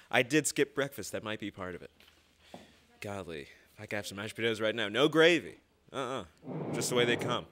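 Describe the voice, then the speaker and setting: A man's voice: mid-range voice, a bit bright, slight background noise. A young man hosting a show in a casual, chatty, folksy way, ad-libbing into a stand mic. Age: young adult. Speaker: host. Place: small indoor studio. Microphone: stand microphone.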